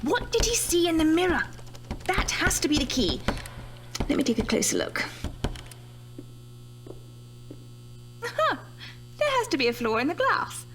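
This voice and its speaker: Female researcher, horror audio drama: high, animated, analytical, dismissive of superstition. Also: normal speed, noisy background. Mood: intrigued. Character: brisk, sceptical female scientist reviewing a death.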